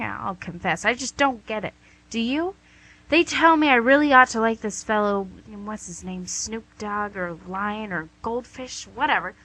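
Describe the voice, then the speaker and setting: Woman acting as the Devil, bright sharp tone, chatty, smug, teasing tempter, radio play. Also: on the high side, no room sound, exaggerated voice, poor audio. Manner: chatty, smug salesmanship with teasing rhetorical questions.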